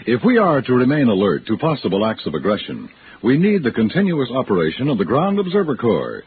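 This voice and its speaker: A man's voice: mid-range voice, noisy background. A man announcing a 1950s radio program from a script in a formal, emphatic manner. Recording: old narrow-band radio recording with music.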